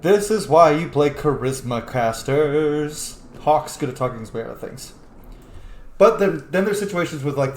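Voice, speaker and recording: A video game streamer giving casual let's play commentary, playful and sweary, often thinking aloud. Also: mid-range voice. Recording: echoey room with faint noise.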